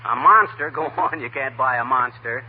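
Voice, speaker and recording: A man's voice: medium pitch, normal speed, slightly rough, lightly acted. A man playing a blustering, easily flustered middle-aged teller of tall tales in a folksy 1940s radio comedy. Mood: exasperated. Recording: tinny, faintly noisy 1940s radio recording.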